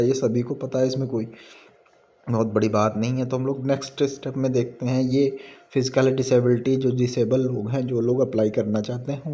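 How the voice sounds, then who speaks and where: A man with an Indian accent gives an informal explainer, reading out a notice point by point and explaining it, sometimes halting over the English phrases. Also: mid-range voice, warm tone. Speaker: presenter of a job recruitment explainer.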